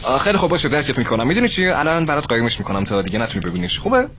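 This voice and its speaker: A fussy, easily alarmed friend, played by a man, stammers and frets in a fairly high, very lively voice. This comes from a cartoon comedy dub, with faint noise underneath. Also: creaky, heavily acted. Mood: exasperated. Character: fretful, fussing male friend, easily alarmed. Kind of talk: comedy dub of an animated sketch.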